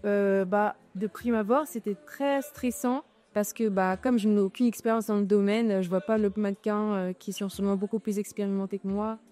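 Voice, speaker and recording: A young woman of eighteen with a mellow, warm voice gives hesitant answers full of fillers. Faint music plays behind her. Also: medium pitch, steady tone, moderate pace, few pauses. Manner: shy, hesitant, many fillers. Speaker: student model. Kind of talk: interview answers.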